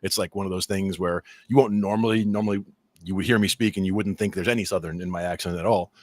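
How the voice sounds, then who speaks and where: A man's voice: low-pitched, steady tone, quick pace, no echo. A man from New Jersey with a mild New Jersey accent, chatting casually as a podcast co-host, rambling and laughing often. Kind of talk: casual chat.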